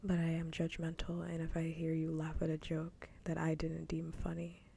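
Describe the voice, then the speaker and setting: A woman speaks in a low, soft and breathy whisper, very close to the microphone as on an ASMR stream. Her tone is dark and mellow, and her chat is casual and frankly opinionated. A faint low rumble sits behind the voice. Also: completely flat delivery, moderate pace. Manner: casual, opinionated, chatty with dry humour.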